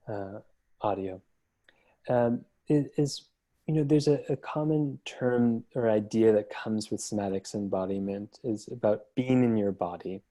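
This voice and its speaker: A man's voice: fairly low pitch, dark tone. A man moderating an online panel discussion, talking in a thoughtful, searching way with frequent restarts, over a video call.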